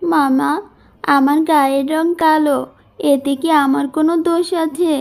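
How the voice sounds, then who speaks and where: A sorrowful young orphan woman in an animated moral story, voiced by a woman. Her high voice is earnest and plaintive, that of someone mistreated. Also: measured pace, gravelly. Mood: hurt, plaintive.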